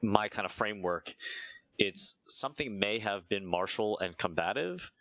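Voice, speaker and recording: A man from the United States talks through his ideas slowly and reflectively, often pausing on "uh" and checking in with "right?". His voice sits very low. It is recorded dry and close, with no room sound. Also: animated, fairly bright tone, no room sound. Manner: measured, thinks aloud with frequent "uh" and "right?". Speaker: interview guest, martial arts teacher. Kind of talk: reflective conversational explanation.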